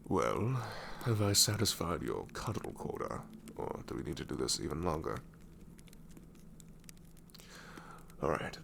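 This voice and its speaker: Man voicing a very deep, gravelly ancient demon. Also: big pitch swings, normal speed, a bit bright, slight background noise, full character voice. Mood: grudgingly sweet. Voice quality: very deep, gravelly, often breaking into whisper. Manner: wry, world-weary, reassuring. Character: ancient sassy male shadow demon, grudgingly tender. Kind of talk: ASMR roleplay monologue.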